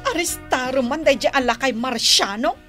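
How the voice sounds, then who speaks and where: An actress playing Estrella, a shrill, quarrelsome village woman in an Ilocano radio drama, speaking with an Ilocano accent and exclaiming and wailing. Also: moderate pace, a bit bright, soft background music. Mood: complaining.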